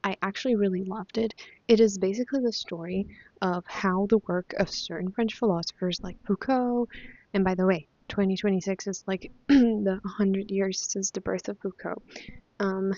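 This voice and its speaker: A woman's voice: mid-range voice, fairly even intonation, normal speed, mellow, no echo, highs cut off. A young woman whose first language is Spanish, chatting casually about books she has read, with many fillers and restarts. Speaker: vlogger. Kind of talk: casual book review chat.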